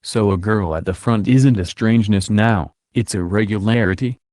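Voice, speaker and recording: Male interviewer voiced by a man: low-pitched, measured voice-over questions in piecemeal phrases, recorded very dry. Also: darkish tone, dry recording, lightly acted. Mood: measured, inquiring. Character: adult interviewer asking reflective questions. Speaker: dubbing voice of an interviewer.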